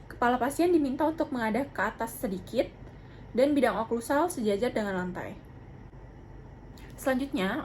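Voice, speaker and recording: A young adult woman giving formal, polite, methodical instruction in the manner of a student demonstrating a clinical procedure. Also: on the high side. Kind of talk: instructional narration with role-played patient consultation. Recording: clearly noisy amateur video sound.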